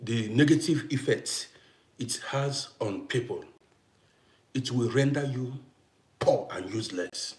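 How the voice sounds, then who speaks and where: A man's voice: mid-range voice, slow pace, long pauses, a little mellow, echoey room. A middle-aged man from Congo hosting a casual live stream, talking emphatically, repeating himself and greeting viewers by name. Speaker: TikTok live host.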